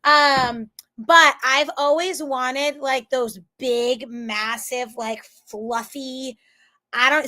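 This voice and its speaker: A woman's voice: sits high, sharp tone. An American woman teaching an online class in a casual, bubbly, rambling way, her voice strained by a cold. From central Florida, United States. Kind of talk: casual chatty live lesson.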